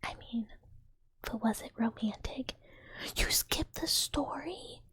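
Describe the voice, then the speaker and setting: A woman's voice: sits high, takes it slowly, no echo. A young woman streamer whispering a giggly, rambling casual chat with her viewers. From United States. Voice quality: soft breathy whisper. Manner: giggly, rambling, frequent "like" fillers.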